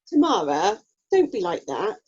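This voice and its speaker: A woman's voice: medium pitch, big pitch swings, unhurried, a little mellow, slightly rough. A woman playing a wry, officious drama society announcer with a British accent and dry delivery, in a radio play. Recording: dull online call audio.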